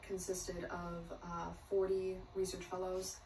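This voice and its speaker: A woman's voice: lowish voice, monotone, slow pace, a crowd in the background. A young woman from the United States telling her personal story in a bubbly, outgoing way into a phone camera. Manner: bubbly and self-described extrovert. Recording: roomy distant phone clip with faint noise.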